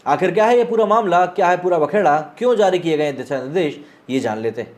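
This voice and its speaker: A man with an Indian accent and a fairly high voice delivers news commentary. His phrasing is formal and newsy, with colloquial asides mixed in. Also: lively intonation, quick pace. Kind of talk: news explainer commentary, reading out online reactions.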